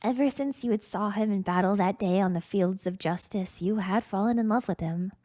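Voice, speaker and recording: A woman's voice: mid-range voice, normal speed, mellow, dry recording. A woman streamer reading a story aloud in a theatrical, mock-grandiose, dramatic narrating style.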